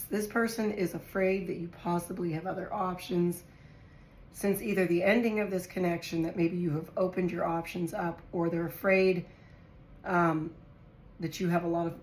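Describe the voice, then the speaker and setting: A woman with a hoarse, raspy voice from a virus, chatting casually as she reads tarot for her viewers and thinks aloud while drawing cards. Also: fairly low pitch, flat delivery, normal speed, a little mellow. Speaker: tarot reader. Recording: echoey room with faint noise.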